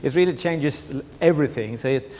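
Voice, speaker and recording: A man's voice: mid-range voice, brisk, a little mellow. A middle-aged man with a Swedish accent gives a conference presentation, restarting phrases and repeating words, often saying "you know". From Sweden. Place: large conference hall. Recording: long hall reverb.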